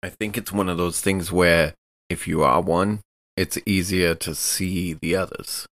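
A man's voice: deep voice, steady tone, unhurried, fairly bright tone, no room sound. A man taking part in a tabletop game, speaking casually as he discusses the story.

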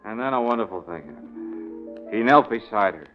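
Man acting brisk, wry radio private eye. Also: on the low side, expressive, soft background music, lightly acted. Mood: reading aloud. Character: wry, take-charge male private detective. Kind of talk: 1940s-50s radio detective drama acting. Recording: muffled vintage radio recording.

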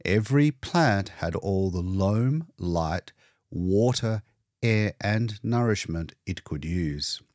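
Male audiobook narrator, slow and deliberate, expressive dramatic phrasing, recorded dry. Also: low-pitched, dry recording. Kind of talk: scripted story narration.

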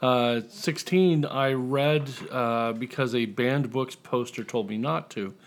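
A man's voice: medium pitch, slow. A middle-aged man with an American accent, talking casually as a guest columnist in an interview. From Indiana, United States.

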